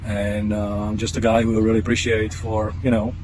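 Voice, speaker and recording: A man with a heavy Czech accent chats casually through an outdoor product test, his voice sitting fairly low. He stops and restarts often, filling the pauses with fillers. The camera-mic recording carries clear outdoor noise behind him. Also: completely flat delivery. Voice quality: halting, many fillers and restarts. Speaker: product tester. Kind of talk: casual vlog narration. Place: outdoors on trails and beach.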